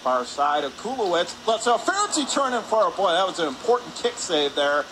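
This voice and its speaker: A man calling live hockey play-by-play on the radio, excitable, with his voice rising on shots and saves. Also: high-pitched, brisk. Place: indoor ice hockey arena. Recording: noisy rink ambience behind the voice.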